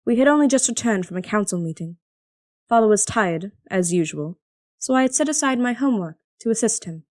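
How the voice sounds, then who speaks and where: An actress playing a devoted, strong-willed young princess in an audio drama, speaking and narrating in a measured, earnest, solemn way. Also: female voice, fairly high pitch, lively intonation, darkish tone, no echo, studio quality, close to an everyday voice. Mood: solemn, reflective. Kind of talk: audio drama acting with first-person narration.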